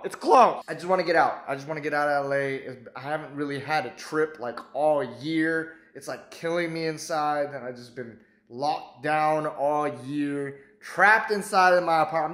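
A man's voice: medium pitch, fairly bright tone, reverberant. A young adult man with an American accent chatting casually to the camera in slangy, informal vlog style. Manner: slangy, informal, often saying bro and man. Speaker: video blogger. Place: indoors, in an apartment, car and parking garage.

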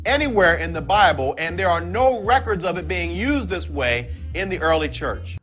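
Man, high voice, very lively pitch, animated Bible teacher, faint music behind. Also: moderate pace. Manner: animated, expressive delivery. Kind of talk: energetic video teaching.

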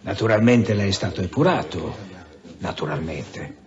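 An actor in an Italian radio drama playing a sardonic senior police official who questions in a measured, probing way. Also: male voice, low-pitched, lively intonation, dull audio, crowd noise. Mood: dry, insinuating.

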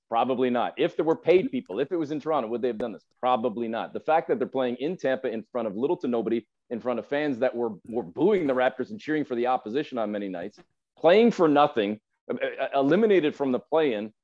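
Fast-talking man, slightly dull call audio. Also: mid-range voice, lively intonation. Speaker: podcast guest, radio play-by-play announcer. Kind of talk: sports discussion. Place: indoors at home, video call.

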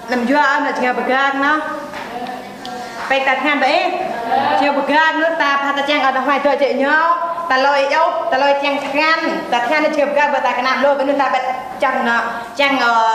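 A woman's voice: high-pitched, a bit bright. A young woman playing a schoolteacher giving a lesson in an educational film. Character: young female schoolteacher addressing her class. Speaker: teacher. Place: indoor school classroom. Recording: very echoey classroom, some background noise.

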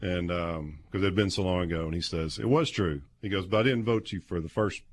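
A man, telling stories casually and haltingly as a guest on sports radio. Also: low voice. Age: 50s. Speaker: guest, former college quarterback. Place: small padded radio studio. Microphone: close studio microphone with headset.

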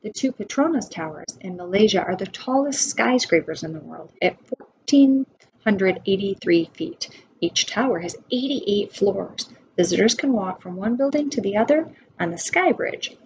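American woman, forties, preschool teacher read-aloud, instructive manner, medium pitch, dull webcam audio, faint background noise. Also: a little mellow. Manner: instructive, asks listeners questions. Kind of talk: read-aloud of a children's nonfiction book with asides.

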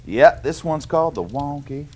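A man's voice: on the high side, lively intonation, normal speed, darkish tone, breathy edge. A middle-aged man playing a jolly Canadian lumberjack, reading a picture book to children with playful, exaggerated sing-song rhythms and chuckly asides. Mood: jolly, inviting. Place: small indoor cabin-style set.

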